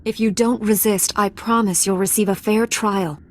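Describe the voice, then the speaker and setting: An actress playing a calm, honorable female knight in a video game, composed and formal. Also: medium pitch, moderate pace, close to an everyday voice. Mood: firm.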